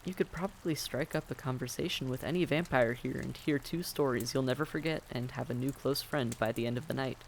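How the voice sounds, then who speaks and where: A man's voice: mid-range voice, fairly quick, creaky, few pauses, a little hiss or hum, lightly acted, poor audio. A man playing a friendly, reassuring vampire innkeeper in a fantasy audio roleplay, speaking courteously and conversationally to the listener. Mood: gentle, comforting.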